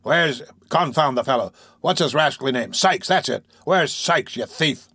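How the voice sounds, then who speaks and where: A man's voice: mid-range voice, animated, normal speed, pushed and bright, breathy edge, no echo, exaggerated voice. A man voicing a blustering, irritable doctor in an audiobook, brusque and bustling. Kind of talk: audiobook character voice.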